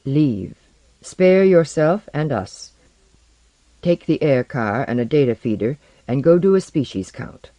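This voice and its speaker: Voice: medium pitch, expressive, a little slow, mellow, no room sound, natural voice, rough recording. A narrator voicing a stern, self-possessed woman with a firm, clipped, challenging manner. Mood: coldly clinical.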